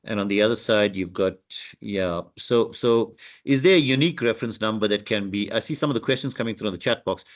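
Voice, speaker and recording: Man, lowish voice, measured and polite; meeting moderator on a video call. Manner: measured, polite, summarizing questions.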